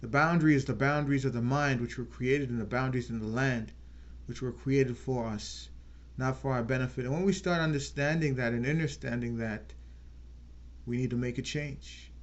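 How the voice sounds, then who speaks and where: A man hosting his own channel, giving an earnest unscripted political talk and slowing down to stress key words. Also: mid-range voice, fairly even intonation, muffled recording. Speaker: channel host.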